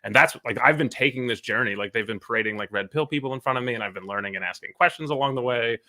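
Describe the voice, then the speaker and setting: A man's voice: medium pitch, pushed and bright. A man in his thirties talking earnestly on a podcast, swearing and filling with "like". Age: 30s. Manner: fast, earnest and profane, with many fillers. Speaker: podcast co-host. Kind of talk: casual podcast chat.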